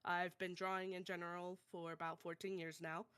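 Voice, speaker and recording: A woman with a slightly low voice and a very clean, smooth tone. She talks informally, like a streamer introducing herself to a group. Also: level tone, fairly quick, a bit bright. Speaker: streamer and aspiring voice actor. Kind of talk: casual self-introduction.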